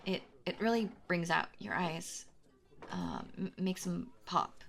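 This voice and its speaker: A woman's voice: fairly low pitch, slow, vocal fry, a bit bright, a little hiss or hum, full character voice. A woman playing a very shy, anxious young stranger in an intimate audio roleplay, rambling and stammering as she talks to the listener. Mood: shy, nervous. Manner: hesitant, stammering, nervously giggly, self-doubting.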